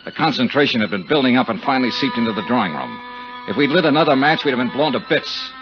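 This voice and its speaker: An actor playing a tough, wisecracking investigator in 1950s radio detective drama, blunt and sardonic, with a husky voice. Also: male voice, medium pitch, very animated, fast, over music, lightly acted. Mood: tense narration. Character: tough, brash, wisecracking American male investigator. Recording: dull 1950s radio broadcast recording.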